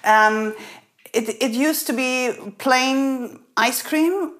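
A woman interviewing a guest. She explains things at length and often pauses to find her words. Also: high voice, fairly bright tone. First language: German. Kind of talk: casual interview conversation.

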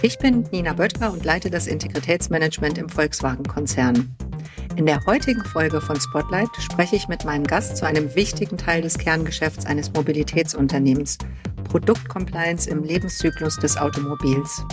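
A woman's voice: low-pitched, normal speed, over music. A woman hosting a corporate podcast and interviewing a guest. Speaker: podcast host.

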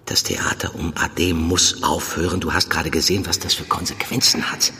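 An actor playing a stern, controlling handler who gives clipped, commanding admonishments with quiet menace in an audio drama. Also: male voice, deep voice, level tone, normal speed. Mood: stern warning. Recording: long reverberant echo.

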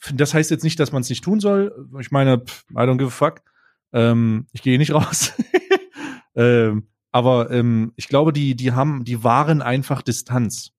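Laid-back German podcast co-host. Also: male voice, mid-range voice, a little mellow. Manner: laid-back, halting with fillers and restarts. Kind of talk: casual chat.

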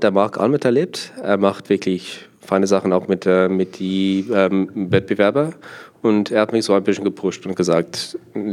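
Deep-voiced young South African man, Afrikaans accent. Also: a little mellow. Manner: hesitant, searching for words in a second language. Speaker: interview guest, part-time sommelier. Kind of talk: conversational interview answers.

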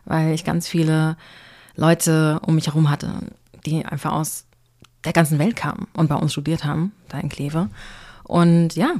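A woman's voice: low-pitched, darkish tone. A young woman from Germany, a singer-songwriter, answering interview questions in an easygoing way.

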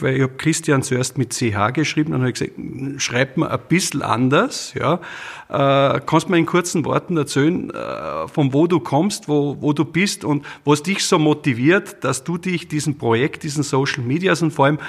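A man hosting a conversational interview, talking in a wordy, halting way as he rephrases his thoughts. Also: medium pitch, creaky, slightly rough. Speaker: interview host.

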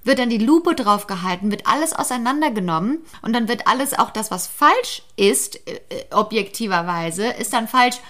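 A woman's voice: a little high, normal speed, hardly any pauses. Chatty German woman telling stories. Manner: animated storytelling with quoted voices, many restarts. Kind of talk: casual chat.

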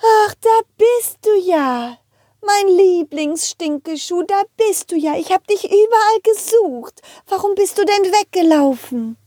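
A woman's voice: very high-pitched, lively intonation, a little slow, warm tone, no echo, clean studio sound, pitched above the performer's own voice. A woman voicing a motherly, loving centipede lady in a children's audio play, tender, coaxing and patient. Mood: relieved.

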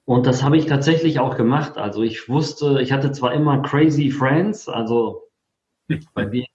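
A man of forty tells his own story casually as an interview guest. His voice sits at a medium pitch, and the recording is roomy and a little dull. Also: normal speed, darkish tone. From born in Poland, grew up in Germany. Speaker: interview guest, affiliate marketer. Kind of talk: casual autobiographical telling. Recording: roomy, slightly dull sound.

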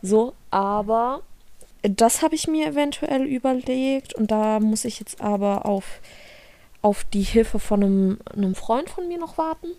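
A woman's voice: fairly high pitch, expressive, measured pace, warm tone, no room sound. A young woman from Germany, co-hosting a podcast in casual chat, talking freely with frequent laughs and restarts. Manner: chatty, laughs often, trails off and restarts. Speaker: podcast co-host.

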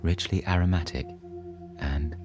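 A man narrating a scripted bedtime sleep story in a soothing, hushed, unhurried storytelling manner. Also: low-pitched, steady tone. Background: soft relaxation music.

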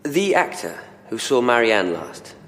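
An actor playing a polite, persistent police investigator who questions in a restrained way, in an audio drama. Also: male voice, mid-range voice, sing-song, a little slow, fairly bright tone. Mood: probing. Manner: probing, restrained. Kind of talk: full-cast audio drama acting. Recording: reverberant hall with faint background noise.

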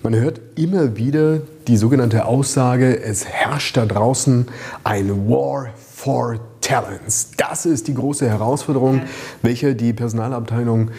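A man's voice: mid-range voice, measured pace, mellow. A man hosting a business podcast, friendly and teasing, steering the talk with questions. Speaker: podcast host.

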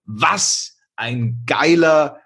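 Husky-voiced German podcast host. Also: male voice, fairly high pitch, extremely slow, a bit bright. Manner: upbeat, chatty, asks short questions. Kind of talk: podcast interview.

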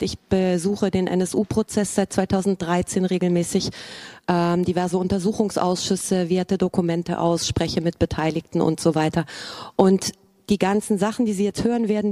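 Mellow, low-voiced woman introducing a work. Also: no room sound, clean studio sound. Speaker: author introducing her radio play. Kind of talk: informal spoken introduction.

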